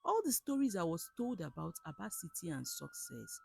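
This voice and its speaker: A woman's voice: on the low side, huge pitch swings, normal speed, vocal fry, a little hiss or hum, heavily acted. A woman with a Nigerian accent voicing a desperate, naive male shop owner in a folktale, plaintive and earnest. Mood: despondent lament. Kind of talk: storybook folktale character.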